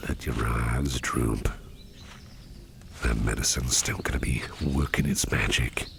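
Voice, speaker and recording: A man acts out a protective gentleman boss in an ASMR roleplay, with an extremely low, gravelly voice. He speaks slowly and softly, often close to a whisper, reassuring and courteous, over clearly audible background ambience. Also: background noise, full character voice. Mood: soothing. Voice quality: very deep, gravelly, often breathy and near-whispered. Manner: gentle, reassuring, unhurried, repeats phrases softly. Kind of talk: ASMR roleplay, soft personal attention.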